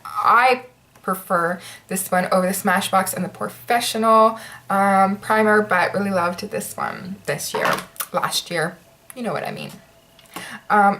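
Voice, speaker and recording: A woman's voice: medium pitch, a bit bright. A woman vlogger chatting casually through her product favorites, sounding slightly stuffy and nasal, with lots of ums and likes. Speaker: beauty vlogger.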